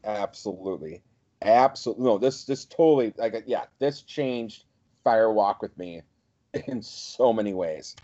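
Mellow-voiced man co-hosting a podcast. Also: lowish voice, unhurried, lo-fi sound. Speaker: podcast co-host. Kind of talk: casual discussion, reading excerpts aloud.

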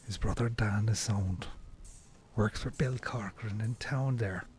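A man acting an Irish local gossip in a radio drama. His voice is fairly low, casual and confiding, with audible ambient noise behind it. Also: unhurried, natural voice.

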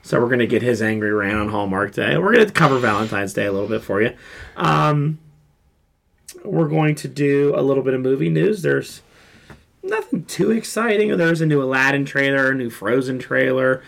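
A man hosting a casual pop culture podcast, hoarse and froggy from a sore throat, joking and rambling. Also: mid-range voice, expressive, moderate pace, fairly bright tone. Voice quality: froggy, gravelly. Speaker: podcast host.

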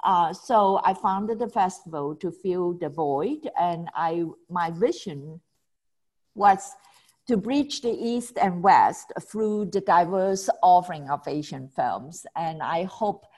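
A woman's voice: fairly low pitch, lively intonation, takes it slowly, a little mellow. Woman from Hong Kong, festival founder. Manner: enthusiastic, frequent restarts and laughs. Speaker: film festival founder, guest. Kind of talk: casual interview talk.

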